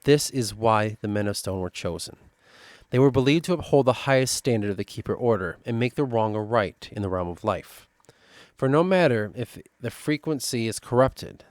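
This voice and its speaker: A man with a Midwestern accent reading through his own fantasy lore notes and thinking aloud, halting and restarting as he goes. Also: lowish voice, lively intonation, no echo. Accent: Midwestern American. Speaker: worldbuilding writer.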